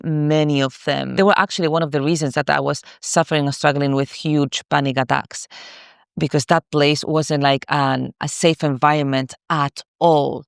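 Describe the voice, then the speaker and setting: A woman's voice: deep voice, clean tone, no echo, studio quality. A woman in her thirties from Morocco, a coach candidly telling her own story in a masterclass, repeating herself with many fillers. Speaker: spiritual coach.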